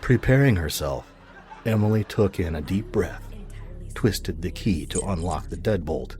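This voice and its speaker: A man reading dramatic scripted narration for a suspenseful mystery audio drama, in a measured way. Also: sits low, animated, a little mellow, faint music behind.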